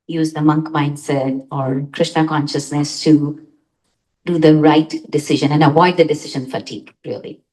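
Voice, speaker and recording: Low-voiced woman asking a question. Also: steady tone, a little mellow, recorded from a distance, poor audio. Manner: hesitant, halting questioning. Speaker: audience member asking a question.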